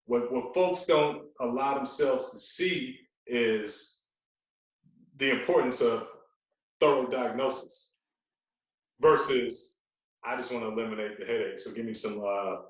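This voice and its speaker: A man's voice: medium pitch, measured pace, pauses often, distant mic. A man talking as a guest fitness coach on a podcast, explaining at length with emphatic rhetorical check-ins. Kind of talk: podcast conversation. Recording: very echoey room.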